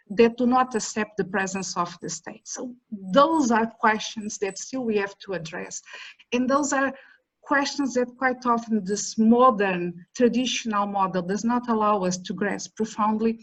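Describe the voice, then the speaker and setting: A middle-aged woman academic, whose first language is neither English nor Portuguese, speaking at a roundtable in a measured, emphatic way with repeated words and restarts. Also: mid-range voice, low-quality recording. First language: a language other than English or Portuguese. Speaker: academic panelist. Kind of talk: roundtable talk.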